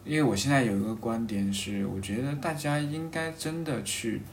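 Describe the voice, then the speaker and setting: A man in a casual, reflective conversation, halting and rephrasing his thoughts as he goes. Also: medium pitch. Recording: roomy echo, faint background noise.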